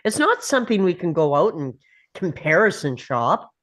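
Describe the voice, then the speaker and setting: A woman playing a brisk, confident CIA officer arguing her case at a script table read, assertive and emphatic. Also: lowish voice, very animated, fairly bright tone. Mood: firm, persuasive.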